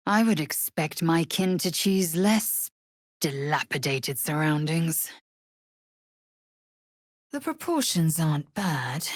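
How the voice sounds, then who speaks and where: A proud, fierce alien warrior woman from a fantasy video game. Her voice sits on the low side and swings widely in pitch. She speaks in clipped, formal phrases, haughty and scornful, with a husky grain. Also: a bit bright, no echo, clean studio sound, exaggerated voice. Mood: haughty, curt. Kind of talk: video game character voice lines.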